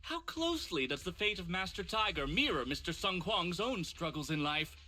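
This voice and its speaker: A woman playing a bossy, excitable film director in a video game dub, theatrical and commanding. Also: on the low side, moderate pace, faint music behind. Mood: rousing, commanding. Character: bossy, excitable female film director rallying her crew. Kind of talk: animated game dub acting.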